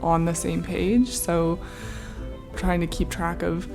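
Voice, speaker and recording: Young american woman, lowish voice, grad student interview, casual, bit of music behind. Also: level tone, slow pace, vocal fry, mellow. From New Mexico, United States. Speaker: graduating PhD student.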